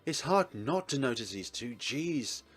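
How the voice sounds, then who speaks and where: A man's voice: medium pitch, big pitch swings, a little slow, a bit bright, slightly rough. A man playing and reading a visual novel aloud with playful asides, exclamations and chuckly commentary. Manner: playful, exclaims and laughs, acts out the narrator's lines. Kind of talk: gaming commentary, reading game text and joking asides.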